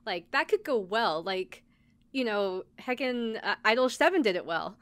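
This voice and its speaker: A woman's voice: medium pitch, animated, normal speed, no room sound. A woman podcast host giving a casual, chatty recap, speaking conversationally with lots of filler "like". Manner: conversational, peppered with "like".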